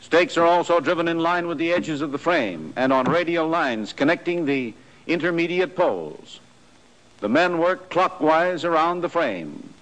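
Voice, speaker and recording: A man's voice: fairly high pitch, lively intonation, moderate pace, a bit bright, low-quality recording. An American man narrating a 1940s training film from a script in a formal, precise, matter-of-fact way.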